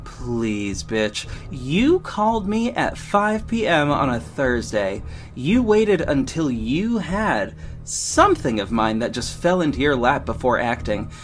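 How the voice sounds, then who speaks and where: A man's voice: medium pitch, very animated, takes it slowly, few pauses, faint music behind. An actor playing a sassy, defiant male hero who narrates his own story in an audio drama, with dramatic, pointed delivery. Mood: scornful defiance. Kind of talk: first-person audio drama narration and dialogue.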